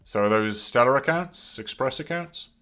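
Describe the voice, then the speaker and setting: A man's voice: medium pitch, lively intonation, moderate pace, bright, forceful tone. A man hosting an interview, asking questions in an easygoing, encouraging way. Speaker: interview host.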